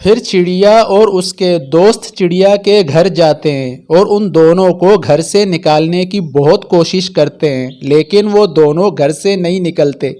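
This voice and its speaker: A man with a fairly high voice narrates a children's tale. He reads from a script as the storyteller. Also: unhurried, hardly any pauses, mellow.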